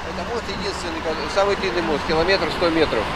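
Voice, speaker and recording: A man's voice: high-pitched, very slow. A middle-aged man riding a motorbike and explaining the city's sights to his passenger like a tour guide. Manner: informative, steady explaining. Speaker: motorbike rider and local guide. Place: outdoors, riding a motorbike in city traffic. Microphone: action camera on the bike. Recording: loud road and wind noise. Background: traffic and wind.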